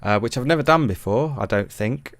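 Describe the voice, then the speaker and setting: A man giving a casual software tutorial in a hesitant, rambling, informal and self-deprecating way. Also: mid-range voice, lively intonation, brisk, no echo. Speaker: music production tutorial presenter.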